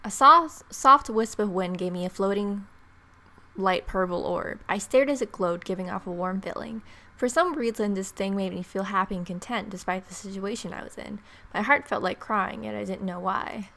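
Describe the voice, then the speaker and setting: Expressive woman reading a game story aloud. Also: mid-range voice, moderate pace. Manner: dramatic, expressive read-aloud. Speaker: let's play host. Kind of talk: reading game narration aloud with casual asides. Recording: game music behind the voice.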